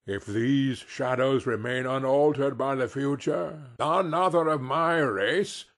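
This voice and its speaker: A man voicing a solemn male spirit who speaks slowly and reprovingly. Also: mid-range voice. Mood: grave. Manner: slow, grave and reproving. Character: solemn male Christmas spirit, stern and grave.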